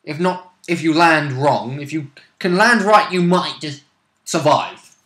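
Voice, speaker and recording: A British man with a slightly high, sharp-edged voice talks casually as co-host of a role-playing vidcast. He is colloquial and opinionated, swearing mildly here and there. The room rings noticeably around him. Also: measured pace. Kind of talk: casual chat recapping a role-playing campaign. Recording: echoey room.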